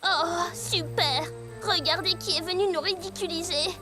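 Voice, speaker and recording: Skeptical, very high-pitched little filly. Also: female voice, fairly even intonation, a little slow, over music. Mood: sarcastic, worried. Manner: blunt, grumbling. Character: wary, skeptical little schoolgirl filly, a cartoon pony. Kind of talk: French cartoon dub acting.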